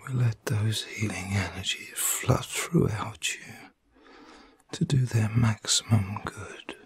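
A man in his fifties giving an ASMR reiki relaxation session in a breathy, husky whisper, soothing and unhurried, with short phrases and long pauses. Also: fairly low pitch. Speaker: reiki healer. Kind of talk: ASMR guided relaxation, personal attention. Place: small room at home, indoors.

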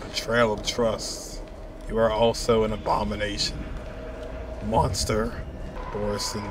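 A man's voice: on the low side, level tone, unhurried, noisy background. A man in his twenties giving casual live commentary as he reacts to game cutscenes. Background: game soundtrack music.

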